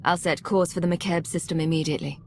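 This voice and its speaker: An actress playing a cool, defiant intelligence agent in a video game, with a composed, clipped, skeptical delivery. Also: female voice, fairly low pitch, level tone, fast, darkish tone, a little hiss or hum, close to an everyday voice. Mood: businesslike. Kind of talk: dramatic video game acting.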